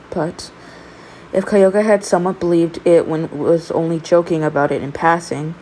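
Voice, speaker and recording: Lowish-voiced woman narrating fan fiction. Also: dark tone, a little hiss or hum, poor audio. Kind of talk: expressive amateur story narration.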